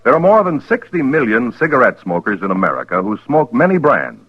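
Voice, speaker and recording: A man's voice: medium pitch, big pitch swings, a little fast, husky. A man announcing a 1950s radio cigarette commercial with a polished, emphatic sales delivery. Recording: dull, muffled old radio recording.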